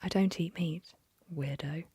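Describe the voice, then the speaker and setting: Woman whispering a bubbly teasing camp girl, super breathy, low-ish. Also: measured pace, mellow, dry recording, full character voice. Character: bubbly young female camper, teasing.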